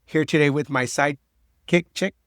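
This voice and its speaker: A man's voice: fairly high pitch, moderate pace, a bit bright, no echo, studio quality. A man hosting a podcast with his daughter, chatting loosely and joking with many fillers. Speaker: podcast host. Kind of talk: casual chat.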